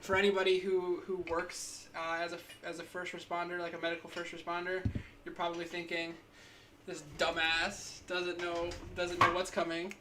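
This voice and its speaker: A woman's voice: lowish voice, completely flat delivery, moderate pace, background noise. A woman in her twenties co-hosting a casual podcast, rambling with lots of likes and ums. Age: 20s. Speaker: podcast co-host.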